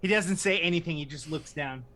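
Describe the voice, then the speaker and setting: High, bright-voiced stammering man. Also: fairly quick. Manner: stammering, repeats words. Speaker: player. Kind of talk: tabletop roleplay chat.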